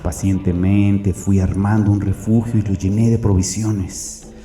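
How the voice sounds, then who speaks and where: An actor playing a tormented, fanatical murderer in hiding, in a solemn, brooding audio drama monologue. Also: male voice, on the low side, level tone, measured pace, warm tone, close to an everyday voice. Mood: brooding, penitent. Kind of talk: dramatic first-person audio story monologue. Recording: echoey, distant, music behind.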